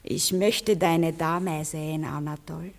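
A woman's voice: sits low, fairly even intonation, slow pace, darkish tone. An actress playing a jealous, imperious and coquettish young woman in love, in a German radio play. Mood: jealous, threatening.

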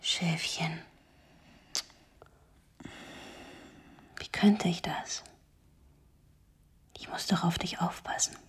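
An actress playing an earnest, caring woman determined to help, speaking in a measured way in a radio drama. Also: on the low side, steady tone, husky, in a whisper, full character voice. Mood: tender.

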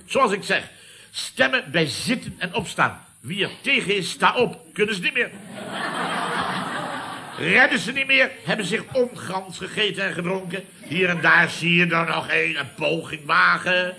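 An actor with a Netherlands Dutch accent playing a pompous middle-aged company boss in a radio comedy, blustering and repeating himself. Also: male voice, sits high, expressive, normal speed, creaky, noisy background. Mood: smugly triumphant. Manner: blustering, repetitive, pompous catchphrases. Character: blustering, self-important male boss of a building firm. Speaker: company boss and club chairman. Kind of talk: 1970s radio comedy acting.

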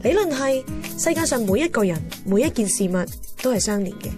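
A woman's voice: a little high, animated, brisk, close to an everyday voice. A woman with a Hong Kong Cantonese accent playing a wistful, candid young heroine who narrates her romance in a radio drama. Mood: reflective. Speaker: narrator and lead character. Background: music.

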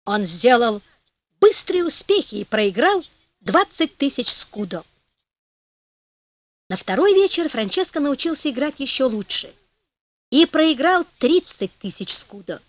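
A woman narrating a folk fairy tale for an audiobook with expressive storytelling. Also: sits high, unhurried, long pauses, no room sound. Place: recording studio.

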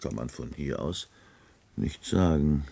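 An actor playing a middle-aged, weary and pessimistic office clerk in a science-fiction radio drama, resigned and dry in manner. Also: male voice, very deep voice, lively intonation, slow pace, gravelly, heavy background noise, exaggerated voice. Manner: resigned, dry, matter-of-fact. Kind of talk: German radio drama acting.

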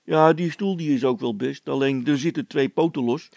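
A man's voice: medium pitch, moderate pace, gravelly, darkish tone, no room sound, pitched above the performer's own voice, close to an everyday voice. A man voicing a good-natured, proud village policeman with a folksy, rambling, hesitant way of talking in a comic story. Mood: jovial. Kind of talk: character voice in a read-aloud comic story.

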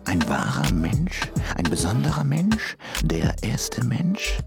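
An actor in a German radio drama playing a gentle, clever half-ape scientist with a hesitant, brooding manner. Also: male voice, mid-range voice, wildly swooping pitch, slow pace, gravelly, loud music behind the voice, exaggerated voice.

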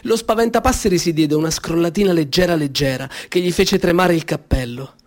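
A male storyteller reads a children's tale in a slightly high voice. His delivery is gentle and measured, with expressive turns on key phrases. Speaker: author reading his story. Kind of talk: storybook narration.

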